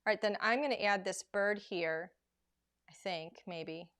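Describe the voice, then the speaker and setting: A woman hosting a live crafting demonstration, chatty and rambling with plenty of filler words, her voice going hoarse as she loses it. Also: medium pitch, unhurried, fairly bright tone. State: losing her voice. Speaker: craft show host.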